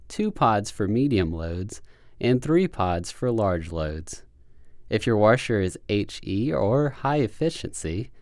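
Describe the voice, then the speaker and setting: A man calmly narrating a scripted how-to tutorial as a voice-over, giving plain step-by-step instructions. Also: fairly low pitch, dry recording, studio quality. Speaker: how-to video host.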